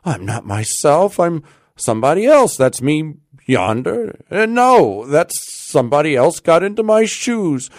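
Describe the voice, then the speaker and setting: A bewildered old man out of a storybook, acted out by a male narrator. He cries out in despair and confusion, his voice raised high and swinging widely. The sound is close and dry. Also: very animated, measured pace, darkish tone, dry recording. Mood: desperate, bewildered. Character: bewildered, distraught gray-bearded old man. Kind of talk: audiobook character voice.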